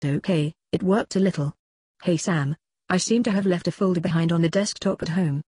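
A text-to-speech female voice playing a sardonic disembodied computer moderator, deadpan in scripted comedy dialogue. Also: lowish voice, brisk, slight background noise. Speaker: moderator and sidekick.

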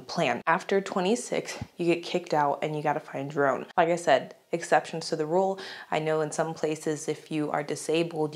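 A young woman from the United States chats to her camera in a home room, her voice sitting a little lower than most women's. She sounds slightly congested from a cold, and her casual storytelling is animated and full of laughs, picked up close on a lapel mic. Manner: chatty, animated, laughs often, gestures with her hands. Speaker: YouTuber. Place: small home room indoors.

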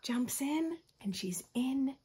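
An American woman in her thirties reading a picture book aloud with expressive, teacherly asides to young children. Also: sits high, takes it slowly, breathy edge. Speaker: children's story host. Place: small furnished room at home.

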